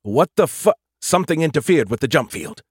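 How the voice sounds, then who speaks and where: An arrogant, flippant artificial intelligence, as a male narrator puts it on, speaks in a raised, very animated voice. It is snarky and quick to insult, in a science fiction audiobook with a dry, close sound. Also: fairly high pitch, husky, no room sound, pitched above the performer's own voice. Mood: snappish.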